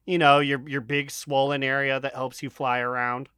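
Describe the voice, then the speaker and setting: A man's voice: medium pitch, level tone, normal speed, sharp tone, clean tone, no room sound, clean studio sound. A man co-hosting a podcast, bantering casually with a bawdy, joking manner. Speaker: podcast co-host.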